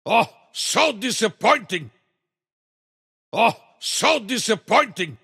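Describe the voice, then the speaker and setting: A big alien mad scientist from a racing game, boastful and theatrical in a thick Russian accent. The voice is high and wildly swooping, with a gravelly rasp. His clipped exclamations trail into a long reverb. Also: very animated, slow pace, pushed and bright, full character voice. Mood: disappointed. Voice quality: gravelly, raspy. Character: big blustery alien mad scientist kart racer, male. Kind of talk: exclamatory video game character voice clips.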